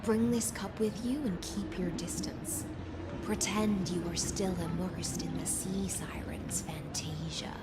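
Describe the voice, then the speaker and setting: A calm and cunning siren courtier from a video game, acted by a woman. Her voice is fairly low, formal and gracious. Loud music runs beneath it. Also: measured pace, natural voice. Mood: calm, conspiratorial. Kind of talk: scripted video game acting.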